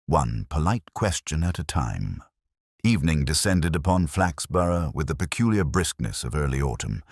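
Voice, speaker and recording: A man narrating a cozy mystery in a wry, unhurried storytelling manner. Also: rumbling low, dry recording, studio quality.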